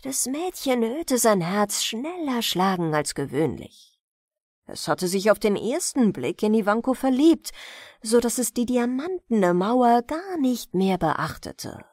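A woman narrating a bedtime fairy tale as a storyteller, calm, measured and expressive. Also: fairly high pitch, no echo, clean studio sound.

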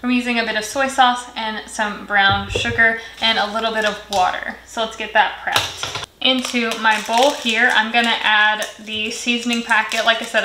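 A young woman hosting a cooking video in her home kitchen, explaining each step in a friendly, chatty way. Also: a little high. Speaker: cooking channel host. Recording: echoey kitchen with sizzling pan noise. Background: frying and stirring sounds.

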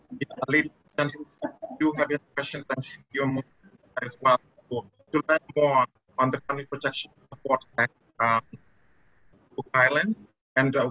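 A man's voice: medium pitch, pushed and bright. A man moderating a conference session, polite and formal, with frequent hesitations. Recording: dull video call audio.

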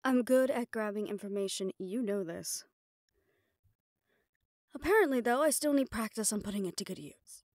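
A woman's voice: mid-range voice, expressive, moderate pace, pauses often, darkish tone, dry recording, natural voice. A woman playing a sardonic, capable personal assistant to a mafia boss in an intimate audio roleplay, speaking in a dry, familiar, businesslike way. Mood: dry, confident. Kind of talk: intimate scripted audio roleplay, one-sided dialogue.

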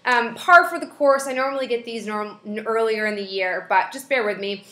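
A woman's voice: on the high side, moderate pace, a bit bright, reverberant. Stuffed-up young woman vlogging chattily. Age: young adult. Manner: chatty, friendly, with frequent fillers. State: stuffed-up nose from a head cold. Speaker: beauty vlogger. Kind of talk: casual product review. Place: small room indoors. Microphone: webcam at desk distance.

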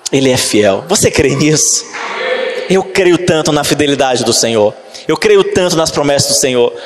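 Male pastor, 30s, high-pitched, fiery sermon delivery with shouted peaks, handheld mic in a reverberant church hall. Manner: preaching with rising, shouted emphasis and slow drawn-out scripture reading. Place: church stage, large hall.

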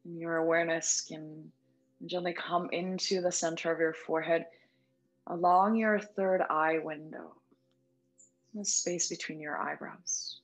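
Female meditation guide, lower register, gentle and unhurried, soft music bed underneath. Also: fairly even intonation, rough recording. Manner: gentle, soothing, unhurried with long pauses. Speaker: yoga nidra meditation instructor. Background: background music.

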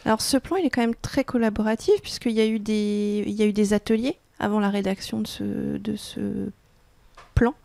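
A woman's voice: mid-range voice, moderate pace, vocal fry, dark tone, no room sound. A woman in her forties presenting a radio magazine and interviewing a guest, speaking into a close studio microphone. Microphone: close handheld-style studio microphone.

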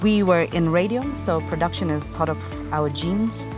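A woman in her thirties from Kenya talks to camera in a garden, relaxed and chatty, laughing easily. Her voice sits a little low. Clear background music runs under her speech. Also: moderate pace. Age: 30s. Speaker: company co-founder. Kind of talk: casual presentation to camera. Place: outdoors in a garden. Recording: music playing behind.

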